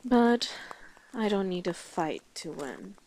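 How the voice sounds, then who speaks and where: A woman's voice: lowish voice, sing-song, slow pace, a little mellow, slight background noise, full character voice, low-quality recording. A woman playing a brash, teasing female orc guide in a fantasy audio roleplay, with a blunt, sardonic manner. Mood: frank, reflective.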